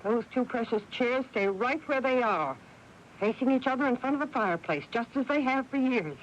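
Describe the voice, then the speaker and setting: Lady acting a bossy agitated older mother, high swoopy voice, old radio drama. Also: animated, normal speed, natural voice. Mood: agitated, dismissive. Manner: emphatic, indignant, dismissive. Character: imperious, possessive older mother, easily agitated. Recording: noisy, muffled old radio broadcast.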